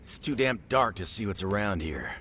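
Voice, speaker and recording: Forceful, gritty young gangster underling. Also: male voice, lowish voice, very animated, normal speed, a little hiss or hum. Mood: puzzled, uneasy. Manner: loud, forceful. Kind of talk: video game cutscene acting.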